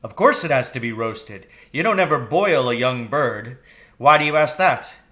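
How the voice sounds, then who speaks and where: A man's voice: mid-range voice, lively intonation, normal speed, bright, forceful tone. A man voicing an exuberant, boastful, loving father in a children's story, with grand, emphatic declarations. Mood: eager.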